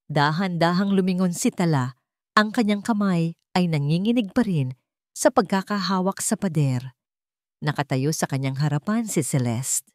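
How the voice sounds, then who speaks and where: A woman with a Filipino accent narrating a scripted dramatic story in measured, suspenseful short phrases. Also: on the low side, a little mellow, no echo, studio quality.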